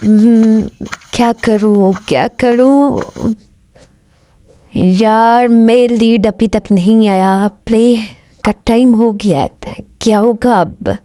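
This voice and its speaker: A woman playing a young university student organising a play, in a soft audio roleplay. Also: on the high side, steady tone, slow pace, no echo. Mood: worried.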